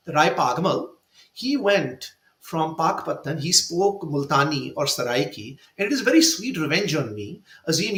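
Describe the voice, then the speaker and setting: Emotional man from india sharing travel memories, high lively voice, kinda echoey room. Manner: emotional, animated storyteller. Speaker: author. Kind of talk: personal storytelling in a discussion. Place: virtual event, video call. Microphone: video call.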